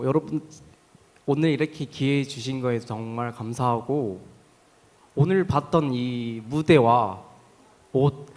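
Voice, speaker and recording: A man with a Korean accent speaking spontaneously as a guest on a live panel. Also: mid-range voice. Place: hall on a stage, live event. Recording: echoey hall sound.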